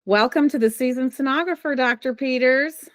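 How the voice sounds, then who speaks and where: A woman in her fifties hosting a podcast, delivering a polished scripted intro and interview questions in an even voice. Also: sits high, normal speed, dry recording. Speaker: podcast host, sonographer. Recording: dry close mic with faint music. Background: faint music.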